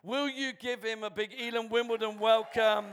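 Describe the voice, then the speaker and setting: A man's voice: very high voice, fairly even intonation, normal speed, smooth. A man, the church's pastor, introducing a guest preacher and rallying the congregation to welcome him. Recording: faint crowd in a church.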